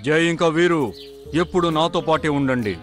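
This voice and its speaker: A man's voice: on the high side, fairly quick, a bit bright, soft background music, lightly acted. A man voicing a proud, blustering animal king of the forest in a children's cartoon, theatrical and declamatory. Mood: boastful, commanding.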